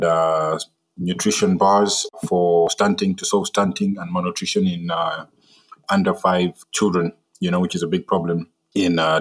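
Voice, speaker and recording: A man's voice: deep voice, poor audio. A man from Malawi who co-founded a drone startup, explaining his work steadily with an occasional "uh". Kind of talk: casual interview talk.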